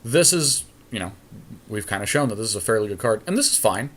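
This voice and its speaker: A man's voice: fairly low pitch, animated, fast talker, a bit bright. A man co-hosting a gaming podcast, giving casual commentary on an online card game with a friend and griping dryly with the odd mild swear.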